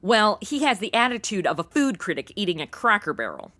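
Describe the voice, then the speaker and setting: A woman's voice: mid-range voice, brisk. A woman playing a brash, irreverent, flirty modern sidekick in a comedy audio drama, flippant and full of wisecracks. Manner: flippant, sassy, deadpan wisecracks.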